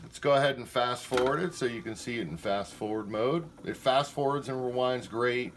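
A man's voice: medium pitch, moderate pace. A man talking casually as he gives a hands-on product demonstration in a room at home. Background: music playing at times.

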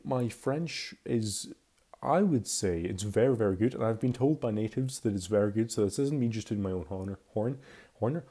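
A man's voice: mid-range voice, warm tone. A twenty-year-old man with a Scottish accent, giving a casual, chatty and candid voice-over commentary. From Scotland. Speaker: video commentator.

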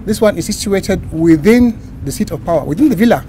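A man's voice: high voice, expressive, fairly quick, noisy background. A man speaking to the press with many hesitant fillers. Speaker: official addressing the press. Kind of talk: remarks to the press.

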